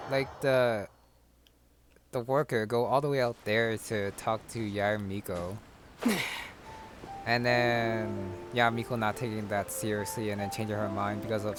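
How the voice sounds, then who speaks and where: A man giving casual gameplay commentary in a musing, hesitant way. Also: lowish voice.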